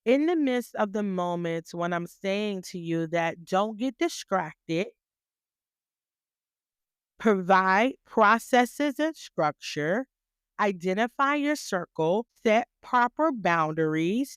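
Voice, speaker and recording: A woman's voice: medium pitch, expressive, takes it slowly, clean tone, dry recording, clean studio sound. A woman hosting a podcast, giving a motivational talk full of rhetorical questions and repeated phrases, with a voice she calls graceful yet stern. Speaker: podcast host.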